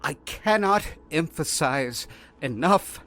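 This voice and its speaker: A man playing a shaken, exasperated researcher, haltingly dictating an incident report in an audio drama. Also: fairly high pitch, animated, a bit bright, exaggerated voice. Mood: grave, agitated. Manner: halting, flustered, repeating words. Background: faint music.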